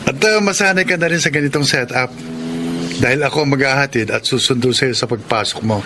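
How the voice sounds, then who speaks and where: A man's voice: medium pitch, a little fast, heavy background noise, lightly acted. A man with a Filipino accent playing a kind, smitten young employer in a radio drama, courteous and reassuring. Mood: friendly, insistent.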